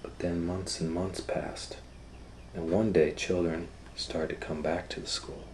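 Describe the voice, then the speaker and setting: A man reading a children's book aloud as a storytime educator, in simple, deliberate phrases with explanatory asides. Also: low-pitched, level tone, a little mellow, a little hiss or hum.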